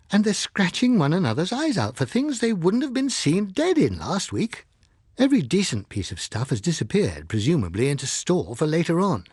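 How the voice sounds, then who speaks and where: A man voicing a brisk, headstrong English wife in an audiobook, emphatic and impatient, with an English accent. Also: fairly high pitch, darkish tone, breathy edge, no room sound, clean studio sound, voice pushed up, heavily acted.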